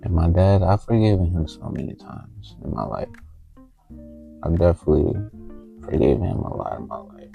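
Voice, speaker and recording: A man recording a heartfelt, halting confessional vlog on a handheld phone in a small closet, with a TV faintly audible from the next room. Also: sits low, lively intonation, vocal fry, warm tone. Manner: halting, heartfelt, stops often to find words. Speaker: vlogger. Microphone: handheld phone camera. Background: faint TV music from the next room.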